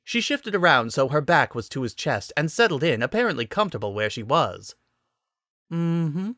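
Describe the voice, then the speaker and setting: A man giving an expressive scripted narration of a fan fiction story. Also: mid-range voice, fairly quick, dry recording, clean studio sound.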